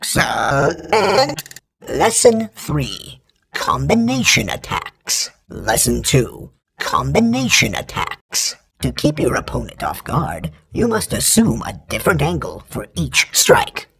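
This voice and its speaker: An actor voicing a stern, precise male training droid in a video game, giving clipped, formal combat instructions in a raspy voice with robotic processing. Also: medium pitch, huge pitch swings, slow pace, a bit bright, heavily acted. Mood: instructive, strict. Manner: terse, formal, imperative, emotionless correction.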